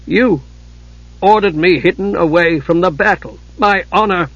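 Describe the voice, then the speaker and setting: A man's voice: sits high, a little slow, fairly bright tone, dry recording, muffled recording, voice pushed up, heavily acted. Man playing an earnest young knight, dry sound. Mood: aghast. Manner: solemn, intense. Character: earnest, honor-bound young male knight, troubled and proud.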